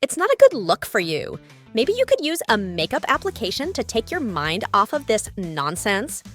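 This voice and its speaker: A woman playing a sassy, vain, gossipy young officer in an animated comedy, speaking with emphasis. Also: on the high side, moderate pace, soft background music. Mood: sassy, evasive.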